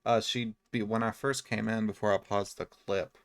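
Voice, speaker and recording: A man giving casual, low-key, explanatory video game commentary. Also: steady tone, moderate pace.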